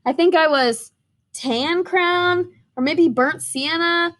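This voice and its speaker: A woman's voice: very high-pitched, slow, fairly bright tone, pitched above the performer's own voice, full character voice. A woman voicing a traumatized, chewed-up storybook crayon in a melodramatic, miserable way. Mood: horrified, woeful.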